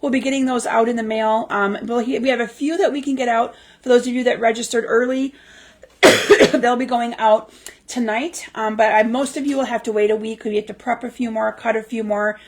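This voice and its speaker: A woman hosting a crafting livestream in chatty, casual talk full of ums, her voice slightly raspy from a lingering cough. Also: on the high side, steady tone, brisk. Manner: chatty, lots of ums and restarts. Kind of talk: casual live-stream chat. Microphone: livestream mic.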